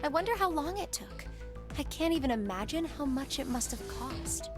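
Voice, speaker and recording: An actress playing a timid, gentle young woman in a visual novel game, speaking tentatively. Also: sits high, animated, normal speed, music in the background. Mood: wondering. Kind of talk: dramatic visual novel voice acting.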